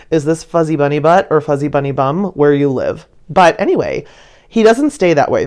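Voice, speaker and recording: Low-voiced, playful woman storyteller. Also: a little mellow. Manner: giggly, playful, gushing with emphatic drawn-out words. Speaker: art video creator and narrator. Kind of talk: chatty storytelling voice-over about painting.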